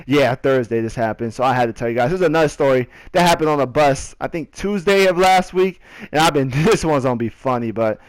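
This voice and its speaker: A young man telling a story casually over gameplay, in a slangy, animated way with repeats and quotes of himself. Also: mid-range voice, a little fast, no echo, poor audio. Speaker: gaming video creator.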